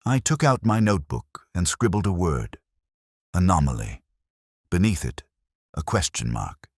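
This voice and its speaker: A man playing a weary, brooding, sharp-minded police inspector who narrates his own detective story in a measured, wry, literary way. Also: low-pitched, sing-song, husky, dry recording, studio quality, heavily acted. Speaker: first-person narrator.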